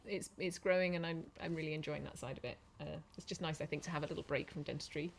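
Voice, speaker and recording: A woman co-hosting a podcast in casual chat, cheerful and quick to laugh. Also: deep voice, steady tone, creaky, a little hiss or hum. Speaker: podcast co-host, dentist.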